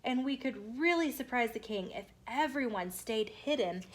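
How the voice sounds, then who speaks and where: A woman's voice: a little high, expressive, moderate pace, a little hiss or hum, close to an everyday voice. A woman voicing a courteous, capable noble lady in a children's storybook. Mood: agreeable, scheming.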